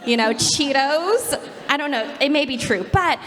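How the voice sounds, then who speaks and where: A woman's voice: high-pitched, expressive, a little slow, crowd noise. A woman speaking on a panel about her career, candid and self-deprecating. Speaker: panelist, gaming company executive. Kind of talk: panel discussion. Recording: long hall reverb.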